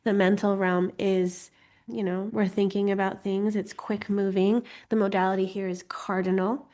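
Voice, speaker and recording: Woman teaching a casual online class, bit congested, playful chatty asides, normal pitch. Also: little pitch movement, normal speed, warm tone. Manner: playful, giggly, self-deprecating asides. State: slightly congested from getting over a cold. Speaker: host teaching an online astrology course. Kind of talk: informal live online class, chatty explaining.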